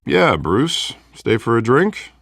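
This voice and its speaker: An American man in his thirties playing an earnest, excitable district attorney and loyal friend in a video game, emphatic and colloquial. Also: mid-range voice, slow pace, creaky, husky, no echo, studio quality, heavily acted. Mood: friendly.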